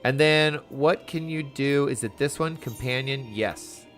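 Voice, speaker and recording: A male streamer chats casually through a video game. He is playful and drifts off topic easily, speaking at an average pitch, with faint music playing behind him. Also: measured pace, a bit bright. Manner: chatty, easily distracted, playful. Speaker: gaming streamer. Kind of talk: casual game commentary to viewers.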